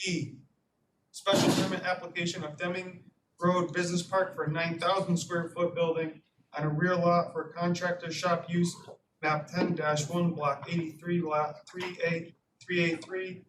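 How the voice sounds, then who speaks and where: A man's voice: on the high side, a little slow. A man serving as commission secretary, reading out a formal legal notice. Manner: monotone, formal recitation. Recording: echoey room, distant mic, noisy.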